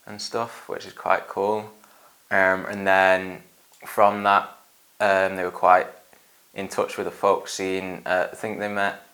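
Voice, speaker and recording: A young man, talking casually in a documentary interview, relaxed and rambling with lots of ums and "and stuff". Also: low voice. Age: young adult. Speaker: band guitarist.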